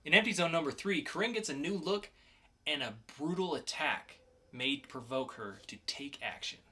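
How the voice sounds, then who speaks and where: Bright-voiced man co-hosting a podcast. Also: on the high side, expressive, moderate pace. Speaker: podcast co-host. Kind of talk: casual comic book review.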